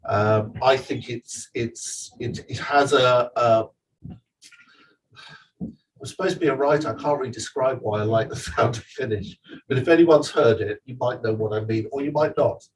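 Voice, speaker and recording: A man's voice: medium pitch, moderate pace, far from the mic, low-quality recording. A man, the author, talking casually at a live event, chatty and digressive with many restarts and fillers. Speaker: author giving a talk. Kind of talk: live talk and audience Q&A. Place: event venue with audience.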